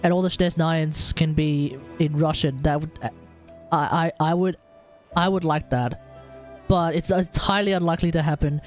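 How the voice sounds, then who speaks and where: A woman's voice: low voice, steady tone, moderate pace, darkish tone. A woman streaming a game with casual, rambling chat, often hesitating and repeating words as she goes. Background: game music.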